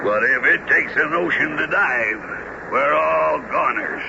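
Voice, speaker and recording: A man playing a bold, cocksure harpooner in a radio drama, blunt and hearty, with a gravelly voice. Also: fairly high pitch, measured pace. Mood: wry. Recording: very noisy, dull old radio recording.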